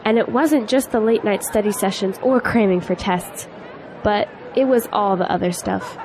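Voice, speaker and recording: A woman's voice: medium pitch, moderate pace, close to an everyday voice. An actress playing a spirited young female graduate in an audio drama, teasing yet earnest. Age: young adult. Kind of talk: scripted audio drama dialogue. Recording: faint noise, outdoor crowd ambience.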